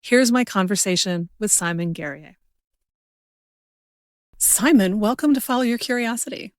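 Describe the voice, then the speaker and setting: A woman's voice: medium pitch, normal speed, no room sound, clean studio sound. A woman speaking as the host of a conversational interview podcast. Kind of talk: scripted introduction and conversational interview.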